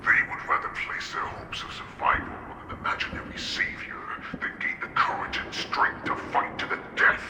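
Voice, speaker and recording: An actor playing an ancient, proud male wolf warlord who speaks in slow, brooding villain speeches in a video game cutscene. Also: slight background noise. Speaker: final boss. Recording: echoey game mix with loud ambience.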